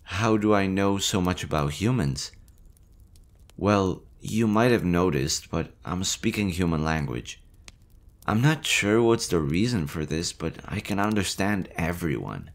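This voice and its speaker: A man voicing a nervous, gentle young male slime monster in an audio roleplay, talking softly and hesitantly. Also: sits low, lively intonation, measured pace. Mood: chatty. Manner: soft, hesitant, chatty.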